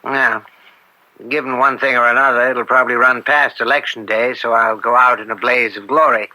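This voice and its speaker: An actor playing an upstanding older judge, proud and wounded, in old-time radio drama style. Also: male voice, medium pitch, level tone, moderate pace, sharp tone, dry recording, poor audio. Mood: guarded, weary. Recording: old radio broadcast with music.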